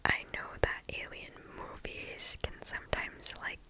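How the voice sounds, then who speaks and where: ASMR storyteller, female. Hushed whisper throughout, unhurried and deliberate, right up on the mic. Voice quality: breathy unvoiced whisper. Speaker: ASMR host reading listener stories. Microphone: close microphone.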